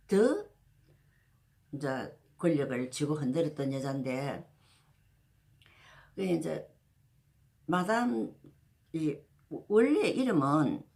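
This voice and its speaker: A woman's voice: lowish voice, darkish tone. A woman, casually telling a history story as a channel host, rambling with many fillers and restarts. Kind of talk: casual spoken history talk.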